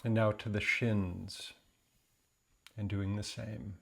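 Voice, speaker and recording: A man with a fairly low, noticeably creaky voice guides a meditation. He speaks gently and deliberately, never rushing. Long pauses separate his phrases as he leads the listener along. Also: little pitch movement.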